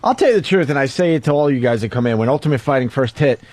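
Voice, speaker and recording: A man hosting a radio show, chatting casually in a blunt, excitable, conversational way. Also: medium pitch, racing, dry recording.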